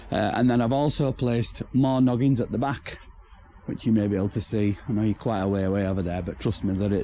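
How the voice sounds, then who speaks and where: A hoarse man who has nearly lost his voice, chatting casually to camera about his outdoor building project with rambling restarts. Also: lowish voice, darkish tone, a little hiss or hum. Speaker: vlogger building a pizza oven shelter. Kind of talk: casual to-camera DIY chat. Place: outdoor building site in a garden.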